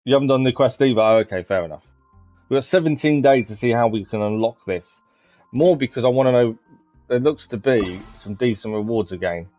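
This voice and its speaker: A man's voice: mid-range voice, fairly quick. A man giving casual live gameplay commentary, musing aloud a little hesitantly as he goes. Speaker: game live streamer. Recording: dull lo-fi mic with game music. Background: game music.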